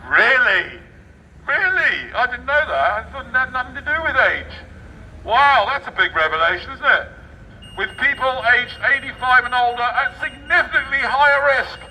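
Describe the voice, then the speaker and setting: A high-voiced Englishman performs a satirical read-aloud outdoors, his tone very bright and cutting. He declaims sarcastically, then slips into muttered asides. The mic is some way off, with faint traffic noise around him. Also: animated, normal speed, far from the mic, poor audio. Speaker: street performer reading a newspaper aloud. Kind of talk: satirical public read-aloud with ad-libbed commentary. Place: outdoor public street.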